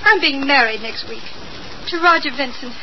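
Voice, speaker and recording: An actress playing a genteel, fretful young bride-to-be, hesitant and melodramatic in 1940s radio style. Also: female voice, sits high, lively intonation, unhurried. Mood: pleading. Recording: muffled, noisy old radio recording.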